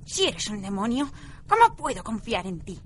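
A woman's voice: medium pitch, very animated, moderate pace, slight background noise, natural voice. A woman playing a feisty, blunt, curious old woman under a curse in a radio play. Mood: startled, wary. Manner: exclamatory, blunt. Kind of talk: amateur radio play acting.

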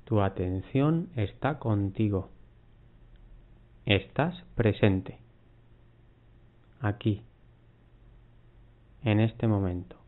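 A man guiding a mindfulness body scan, giving unhurried instructions in short phrases with long pauses. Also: on the low side, expressive. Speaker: meditation guide. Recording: muffled, phone-like narrow band.

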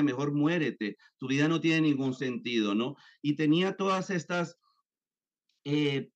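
A man in his fifties from Colombia, giving an interview as a psychologist and talking with many fillers and restarts. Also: fairly high pitch. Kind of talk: conversational interview. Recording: dull lo-fi sound.

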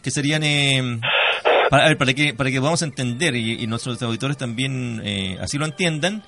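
A man's voice: mid-range voice, a little fast, vocal fry, sharp tone. A man hosting a radio interview, politely prompting his guest and asking for clarification in a conversational way. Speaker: radio host. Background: music under the voice.

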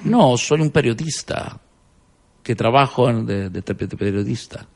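Man playing a pompous, gravelly journalist. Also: medium pitch, animated. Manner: halting, hesitant, apologizes for slipping into English, breaks into laughter. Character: pompous Chilean-born Miami TV journalist, self-important, mangling English. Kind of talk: comic mock interview.

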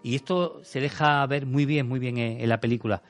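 A man's voice: mid-range voice, steady tone, normal speed, soft background music. A man on a radio show talking about a film in a thoughtful, rambling way, with many restarts. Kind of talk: casual radio chat. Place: radio studio.

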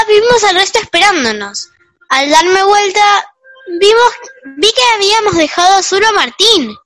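Earnest, resolute schoolgirl storyteller for a radio play, played by a female, piercingly high, dry close sound. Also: a bit bright, no echo. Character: determined schoolgirl narrating how she stood up.

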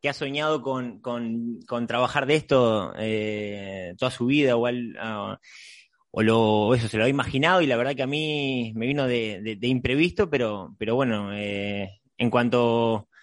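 A middle-aged man with a medium-pitched voice talks informally. He rambles a little, halting and repeating the starts of phrases. The sound is dry, with little room in it. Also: no echo. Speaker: host, marine mammal trainer. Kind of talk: casual introductory chat.

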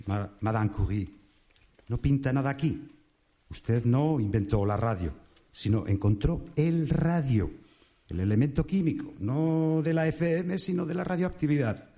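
A man's voice: medium pitch, dark tone. A man playing a bossy, weary gatekeeper of heaven in a comic radio drama.

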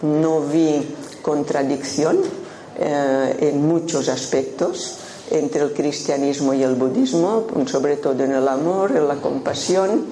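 An older woman with a Menorcan Spanish accent speaks very slowly in a soft, mellow voice. She talks to a room as a Buddhist teacher and often stops to think. The recording is roomy, with faint noise behind her. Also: sits low, steady tone. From Menorca, Spain. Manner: unhurried, thoughtful, with frequent hesitations. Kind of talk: informal talk to an audience.

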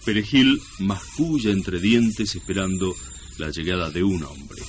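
Comic radio drama narrator, low-pitched, pompous, mock-solemn, faint recording noise. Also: male voice, a little slow. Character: pompous, easily distracted male radio-play narrator. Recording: noisy old radio broadcast.

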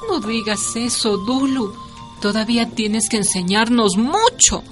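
An actress playing a gentle, worried woman teacher consoling a friend in a radio drama, coaxing and soft. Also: a little high, lively intonation, measured pace, soft background music.

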